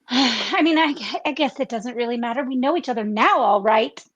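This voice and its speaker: A woman plays a tender-hearted young girl in a comic radio play. Her voice is high and girlish, with an earnest, innocent, breathlessly gushing manner. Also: normal speed, fairly bright tone, highs cut off. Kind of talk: parody radio play acting.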